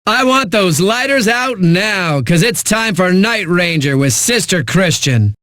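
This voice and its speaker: High-pitched, cocky radio DJ. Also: male voice, animated, bright, forceful tone, no echo, studio quality, full character voice. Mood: playful, hyped. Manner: smug, punchy announcer delivery with dramatic pauses. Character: cocky, flippant male eighties-hits radio DJ. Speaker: radio DJ, host. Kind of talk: 1980s music radio DJ patter.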